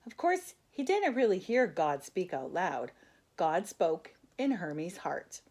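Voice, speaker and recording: An older woman reading a children's storybook aloud in an expressive way, as a grandmother would to young listeners. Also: fairly low pitch, slow. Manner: expressive, asks the listeners questions.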